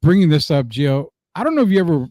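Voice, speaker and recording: A man's voice: a little high, sing-song, fast talker, dark tone, no echo. A middle-aged man hosting a casual live-streamed sports chat in a loose, slangy way. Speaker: sports show host.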